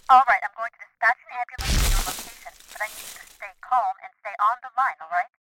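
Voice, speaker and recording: An actress playing an emergency operator who answers calmly and procedurally. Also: female voice, on the high side, fast talker, noisy background, close to an everyday voice. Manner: calm, procedural. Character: brisk emergency-line operator woman. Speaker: emergency dispatcher.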